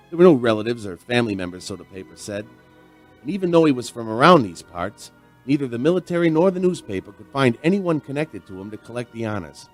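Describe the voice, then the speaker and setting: A man playing a chatty veteran barman in a radio drama, telling an uneasy mystery in a folksy, rambling way. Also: mid-range voice. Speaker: narrator and lead character. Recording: music behind the voice.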